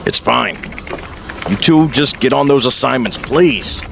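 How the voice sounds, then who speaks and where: A man acts as a gruff middle-aged newspaper editor in an audio drama. He is gravelly and authoritative, with a wry, exasperated edge. The recording has clear background noise. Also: mid-range voice, animated, unhurried, a bit bright. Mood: brusque, apologetic.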